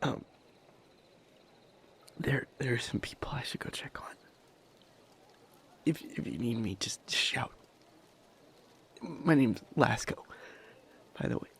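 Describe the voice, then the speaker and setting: A man acting an anxious, kind young helper at a disaster in an audio roleplay, stammering heavily in a soft, hesitant voice. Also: mid-range voice, animated, slow pace, long pauses, whispered. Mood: nervous, hesitant. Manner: heavy nervous stammer, hesitant.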